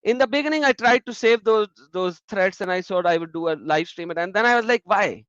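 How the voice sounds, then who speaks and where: A man hosting a casual discussion, animated and repeating his phrases. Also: high-pitched, fairly quick, no echo. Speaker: host. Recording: muffled lo-fi sound.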